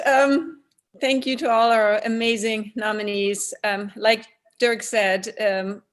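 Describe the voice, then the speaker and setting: A woman hosting an award ceremony over a video call, chatty with fillers. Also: mid-range voice. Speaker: event host.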